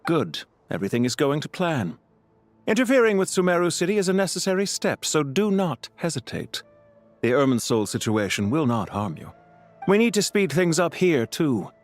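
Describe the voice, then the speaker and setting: An actor playing a grandiose, condescending villain mastermind in a video game, speaking in a measured, theatrical way. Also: male voice, a little high, very animated, no room sound, soft background music. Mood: smug menace. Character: male villain, cool, grandiose, scheming mastermind commander.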